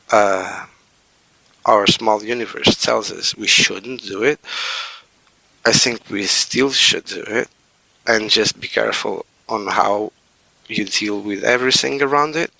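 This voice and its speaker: A man, his low voice gravelly yet bright at the edges. He talks as a podcast guest, hesitant, stopping and restarting as he looks for words. The sound is close and dry. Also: dry recording, rough recording. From Portugal. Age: 40s. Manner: hesitant, with many fillers and restarts. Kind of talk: casual interview talk.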